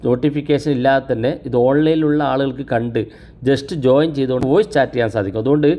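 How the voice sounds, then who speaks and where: A man narrates a how-to video in a medium-pitched voice. He talks quickly and explains step by step, speaking straight to the viewer like a tutorial host. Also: fairly even intonation, mellow. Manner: instructional, addresses viewers directly.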